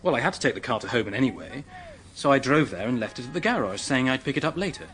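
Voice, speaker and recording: Actor as an earnest, flustered English secretary, animated. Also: male voice, medium pitch, quick pace, soft background music, natural voice. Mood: puzzled, narrating. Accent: British. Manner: polite, hesitant. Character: earnest, flustered young English male secretary, helpful and anxious. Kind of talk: radio drama acting.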